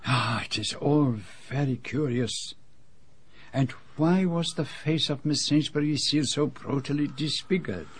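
Fastidious Belgian detective, slightly husky. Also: male voice, on the high side. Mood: puzzled, probing. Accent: Belgian French. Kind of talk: radio drama acting.

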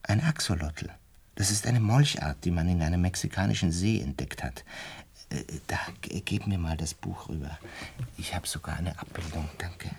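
Resigned radio-play man, very low pitch, husky, musing, hesitant, intimate narration. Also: animated, normal speed, darkish tone, full character voice. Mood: earnest explaining. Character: pensive, resigned man recounting a biological catastrophe. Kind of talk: intimate reflective radio drama narration and dialogue.

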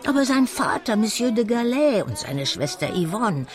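A woman's voice: on the high side, big pitch swings, normal speed. An actress playing a chattering, argumentative peasant woman gossiping at a feast in a German radio drama. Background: crowd chatter.

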